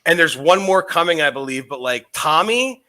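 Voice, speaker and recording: A man's voice: on the high side, animated, normal speed, bright, forceful tone. A man co-hosting a podcast, intoxicated, rambling casually with lots of swearing. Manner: profane, meandering, enthusiastic. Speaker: podcast co-host.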